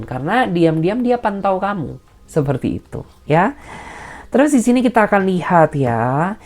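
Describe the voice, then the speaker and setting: A woman giving a general tarot reading straight to the viewer, advising and reassuring, and tagging nearly every phrase with a soft "ya". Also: low-pitched. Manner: advising and reassuring in a direct, personal way. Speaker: tarot reader.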